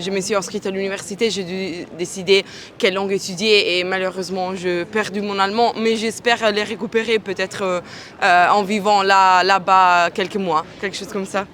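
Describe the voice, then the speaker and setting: A woman's voice: medium pitch, fairly bright tone. Young woman, hesitant. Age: young adult. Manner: hesitant, searching for words. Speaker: exchange student. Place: city street outdoors.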